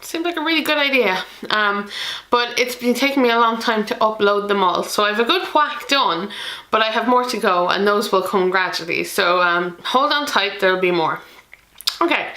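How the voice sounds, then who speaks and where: A woman's voice: medium pitch, expressive, normal speed, pushed and bright, echoey room, poor audio. A woman from Ireland with an Irish accent, hosting a board game channel in a chatty, self-deprecating way with many ums. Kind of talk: chatty solo video to camera.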